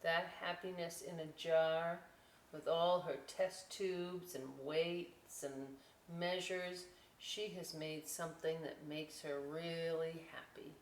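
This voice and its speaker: Female story time host, lowish voice, unhurried, conversational read-aloud with asides for young children. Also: fairly even intonation. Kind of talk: picture-book read-aloud with casual asides. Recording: roomy, distant mic with clear noise.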